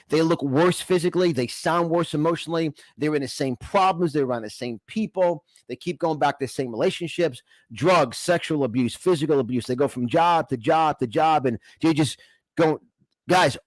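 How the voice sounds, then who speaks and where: A man's voice: fairly high pitch, no echo, rough recording. A man with a New York accent giving an emphatic, exhortative motivational talk, repeating phrases to drive them home. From New York, United States. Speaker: motivational speaker and coach.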